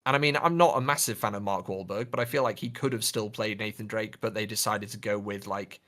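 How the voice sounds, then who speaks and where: A man's voice: on the low side, brisk, fairly bright tone. A man co-hosting a podcast, talking casually about films and games in a hesitant, hedging way. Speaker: podcast co-host.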